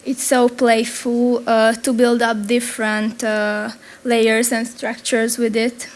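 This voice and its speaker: A young woman with a Hungarian accent presents her drawings and paintings, halting often and restarting her sentences. She speaks slowly in a fairly high voice that stays flat and even. Her words come carefully, as if she is nervous. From Transylvania. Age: young adult. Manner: hesitant, many pauses and restarts. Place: event venue.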